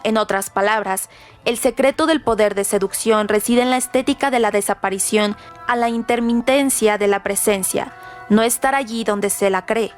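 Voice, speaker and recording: A woman reading scripted literary trivia in a measured way, as a radio presenter. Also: medium pitch. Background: background music.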